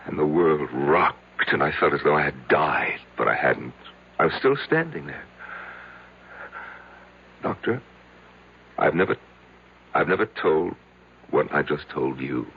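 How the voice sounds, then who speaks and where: An actor in a radio drama playing a lonely, self-loathing middle-aged man who confesses haltingly to his psychiatrist. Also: rumbling low, breathy edge, heavily acted. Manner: halting, hesitant, repeating himself. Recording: tinny, band-limited old radio broadcast.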